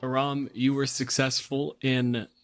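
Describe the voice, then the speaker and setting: A man's voice: medium pitch, little pitch movement, measured pace, loud music behind the voice. A man speaking casually and bluntly, teasing a fellow player at a tabletop game.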